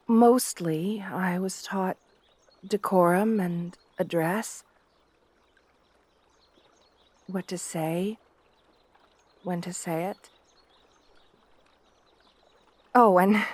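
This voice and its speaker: A woman playing a shy, gentle, devoted young princess bride in an ASMR roleplay, speaking softly and hesitantly, with deference. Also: mid-range voice, no room sound. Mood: earnest, demure.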